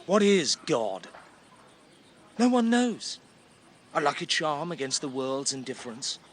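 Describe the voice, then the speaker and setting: A man's voice: fairly high pitch, very animated, measured pace, a bit bright, slight background noise. An actor playing a rigid, skeptical younger king who speaks with declamatory contempt in a classical radio drama. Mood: contemptuous.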